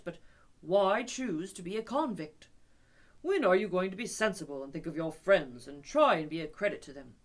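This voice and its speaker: Woman playing a stern male water rat, lower voice, loud and emphatic, storybook. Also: close to an everyday voice. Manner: scolding, emphatic.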